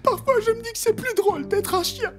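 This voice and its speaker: Very high-voiced naive cartoon boar. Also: brisk, music in the background. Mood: delighted. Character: earnest male cartoon wild boar disguised as a pet. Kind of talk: animated children's cartoon dub.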